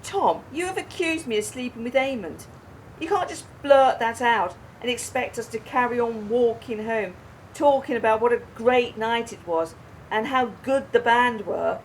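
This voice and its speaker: A woman's voice: on the high side, normal speed, a little hiss or hum, lightly acted, rough recording. A woman playing an indignant, confrontational young wife in a radio play, speaking emphatically. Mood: accusing. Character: angry, indignant young wife in a roadside quarrel.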